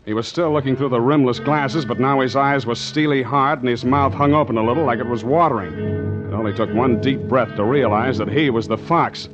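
An actor playing a tough, wry ship's master who narrates his adventure in deadpan 1940s radio noir style. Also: mid-range voice, brisk, lightly acted. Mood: wry narration. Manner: deadpan, wisecracking. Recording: muffled 1940s radio recording with music.

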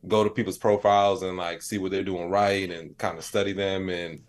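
A man with a low-pitched voice talks informally as a podcast guest. He thinks his points through aloud in a conversational, reflective way, leaning on filler phrases like "you know". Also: level tone, fairly quick. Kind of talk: casual interview conversation.

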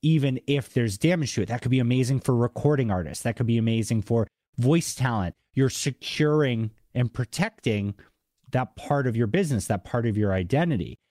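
A man's voice: medium pitch, animated, brisk, a little mellow, dry recording, clean studio sound. A man with a slight Boston accent hosting a podcast in an enthusiastic, casual, conversational way. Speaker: podcast host. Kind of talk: casual interview chat.

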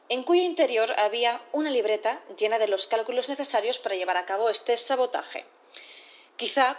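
A woman's voice: on the high side, lo-fi sound, lightly acted. An actress playing a shrewd, insistent prosecutor in a sci-fi audio drama, formal and rhetorical in her questioning. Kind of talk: audio drama courtroom acting.

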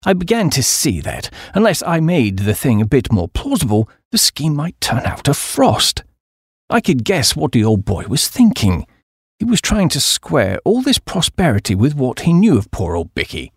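A British man reads a comic audiobook with breezy upper-class ease. His pitch swoops widely, the voice is a little husky and creaky, and he sounds like a chappie telling his own tale. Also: huge pitch swings, moderate pace, dry recording, clean studio sound. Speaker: audiobook narrator. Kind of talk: comic first-person story narration.

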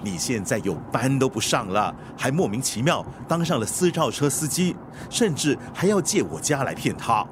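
Actor as nagging, animated loyal chauffeur. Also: male voice, medium pitch, moderate pace, few pauses, close to an everyday voice. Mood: nagging, bewildered. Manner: questioning, earnest, deferential but blunt. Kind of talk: radio drama acting. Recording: clear street and vehicle noise.

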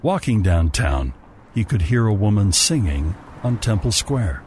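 A man's voice: fairly low pitch, big pitch swings, measured pace, darkish tone, slight background noise. A man narrating a scripted story as a storyteller. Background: music.

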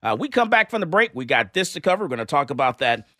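A man hosting a talk radio show, asking questions and leading into breaks in an animated way. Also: a little high, fast talker, no room sound, studio quality. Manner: animated, repeats himself when setting up questions.

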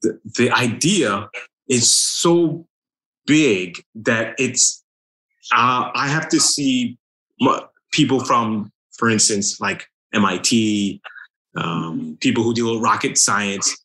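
A man's voice: mid-range voice, slow, pushed and bright, rough recording. A man who is a guest on a faith talk show, telling a personal story in a loose, hesitant way. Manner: hesitant, many fillers and restarts while telling a story. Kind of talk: casual conversation.

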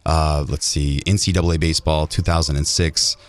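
A man's voice: very deep voice, steady tone, brisk. A man from Illinois, a band musician, telling stories chattily and enthusiastically as a guest in a casual radio interview. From Illinois, United States. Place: radio studio.

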